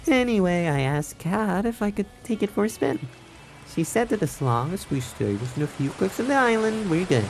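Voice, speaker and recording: Lively, teasing young love interest. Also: female voice, medium pitch, normal speed, faint music behind, rough recording. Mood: proud, excited. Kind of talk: visual novel game acting.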